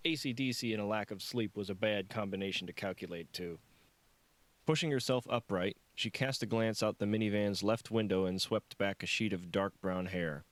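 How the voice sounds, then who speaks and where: Low-pitched man narrating an audiobook, dry sound. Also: no room sound. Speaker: author reading own audiobook. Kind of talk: scripted narration of a novel.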